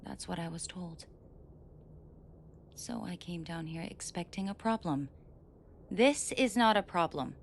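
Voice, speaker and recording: A woman performs a mafia boss in an intimate roleplay drama, her voice low and heavy with vocal fry. She speaks very slowly and with tight control, commanding and menacing, yet tender and protective underneath. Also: slight background noise. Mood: cold, weary. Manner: controlled, commanding, slow and deliberate, often dropping to whispers. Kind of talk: scripted roleplay audio drama, intimate direct address to listener.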